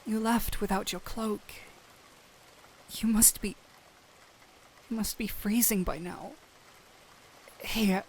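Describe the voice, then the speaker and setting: A woman playing a gentle, anxious doctor in an audio roleplay, speaking earnestly and haltingly to the listener over rain. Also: lowish voice, slight background noise. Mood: pleading, tender. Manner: earnest, hesitant, pleading with broken phrases. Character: gentle, anxious human doctor pleading with a small cat-thief. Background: rain ambience.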